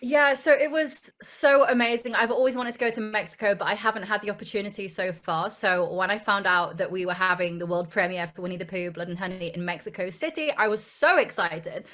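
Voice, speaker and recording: Young British woman, actress guest, enthusiastic, fluent, mid-pitched voice. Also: fairly quick, hardly any pauses, a bit bright. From United Kingdom. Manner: enthusiastic, fluent, repeats words when starting. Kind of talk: interview.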